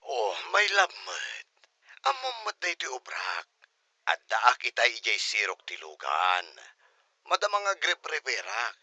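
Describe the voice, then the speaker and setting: A man's voice: lowish voice, huge pitch swings, a little slow, sharp tone, no echo, full character voice. A man playing a harried, weary mechanic boyfriend, placating and exasperated, in an Ilocano comedy audio drama.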